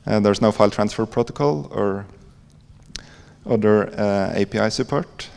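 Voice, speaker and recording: A man with a Norwegian accent giving an informal conference presentation in a halting, hesitant way, with frequent pauses. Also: on the low side, creaky, a little mellow. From Norway. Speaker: conference presenter, library coder. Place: conference hall. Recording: echoey hall with long reverb.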